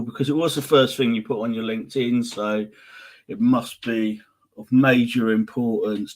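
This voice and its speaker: A man hosting a podcast, asking meandering questions full of fillers. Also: mid-range voice. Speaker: podcast host. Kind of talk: casual interview chat.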